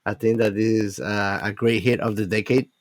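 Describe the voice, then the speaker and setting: A man giving his casual opinion of a film on a discussion show, hesitant and pausing between phrases. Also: lowish voice, steady tone, no room sound, clean studio sound. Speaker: film podcast panelist. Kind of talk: casual movie review chat.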